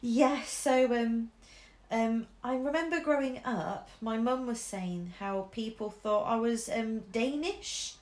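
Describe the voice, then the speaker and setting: Slow, chatty English woman drawing. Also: fairly high pitch, darkish tone, roomy sound. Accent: mixed English Midlands. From Shropshire, England. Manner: chatty, many ums and restarts, laughs easily. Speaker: artist streamer. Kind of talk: casual chat while drawing. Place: living room at home, indoors. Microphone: camera over the shoulder.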